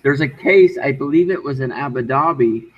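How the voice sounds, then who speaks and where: A man arguing his point in casual online talk, halting and insistent, often repeating himself. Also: medium pitch. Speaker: discussion guest. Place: online group voice call. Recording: dull, noisy voice-call audio.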